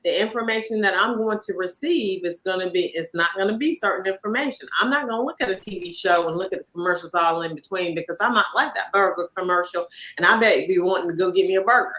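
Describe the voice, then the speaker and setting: A woman hosting a relaxed conversation, talking at a middle pitch. She gets animated and loops back on her phrases for emphasis. Her delivery is conversational and unscripted. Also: quick pace, low-quality recording. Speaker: podcast host. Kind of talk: casual interview chat.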